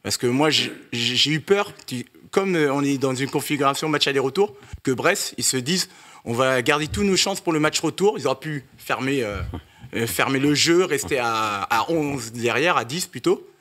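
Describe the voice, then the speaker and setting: A man on a fan panel giving measured, analytical football commentary. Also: medium pitch, expressive, fairly bright tone. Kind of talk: football analysis in casual chat.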